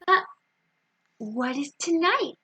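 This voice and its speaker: Lady doing polite forgetful little boy, storybook, slow talking, slightly high. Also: big pitch swings, pushed and bright, heavily acted. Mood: puzzled. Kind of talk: children's storybook character voice.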